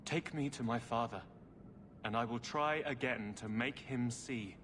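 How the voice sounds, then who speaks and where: A man's voice: mid-range voice, unhurried, fairly bright tone, in a large hall, slight background noise, close to an everyday voice. A man playing an indignant, idealistic young runaway nobleman in video game dialogue. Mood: earnest.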